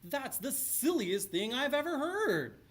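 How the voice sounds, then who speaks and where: Proud storybook knight father, acted by a man: pompous, blustering, raised pitch. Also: sing-song, a little slow, a bit bright, exaggerated voice. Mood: scornful.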